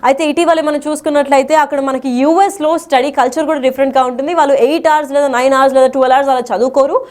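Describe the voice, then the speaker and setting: A woman's voice: high voice, fairly even intonation, rapid-fire, a little mellow. A woman explaining the news as a presenter, in a wordy, partly unscripted way with English terms mixed in.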